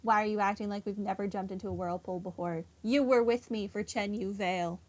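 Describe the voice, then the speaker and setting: A woman's voice: mid-range voice, steady tone, brisk. A woman gamer giving casual playthrough commentary with dry, offhand reactions. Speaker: gaming streamer. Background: video game music.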